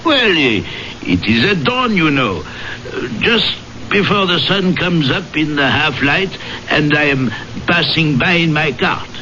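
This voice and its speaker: A superstitious village milkman, anxious to please and tripping over his words. The voice is bright and fairly high, in old radio drama sound, muffled with faint noise. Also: very animated, unhurried, full character voice. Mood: nervous, sheepish. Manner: hesitant, stammering, deferential. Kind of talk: 1970s radio drama acting. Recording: dull, noisy old radio broadcast.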